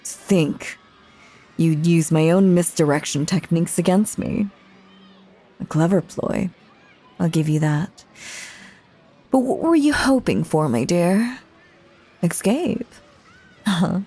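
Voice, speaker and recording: A woman's voice: on the low side, expressive, slow pace, pauses often, warm tone. A woman playing a possessive, sinister stage magician mistress in an audio roleplay, theatrical and full of silky menace. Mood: coolly menacing. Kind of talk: dramatic audio roleplay acting.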